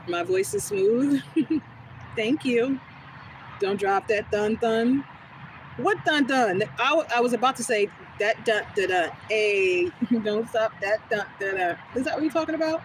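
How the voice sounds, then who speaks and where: A woman with a smooth, soothing voice, chatting casually with viewers during a live stream and answering their comments as she goes. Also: medium pitch, takes it slowly, long pauses. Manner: relaxed, rambling, talks back to chat comments. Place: outdoors on a quiet street. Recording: noisy outdoor phone recording.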